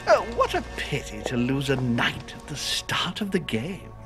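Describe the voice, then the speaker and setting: A man acting a courteous, scheming gentleman in a video game, with a polished, insinuating and formal manner. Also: mid-range voice, huge pitch swings, unhurried, music in the background. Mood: sly, needling.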